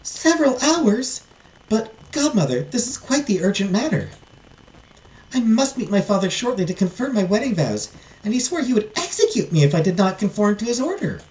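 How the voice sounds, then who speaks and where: An earnest young princess from a storybook, put on by a woman narrator. The voice sits high and moves around a lot in pitch. It is formal, anxious and pleading, recorded in an echoey space. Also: sing-song, moderate pace, a little mellow, close to an everyday voice. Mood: pleading, urgent. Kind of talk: storybook character voice.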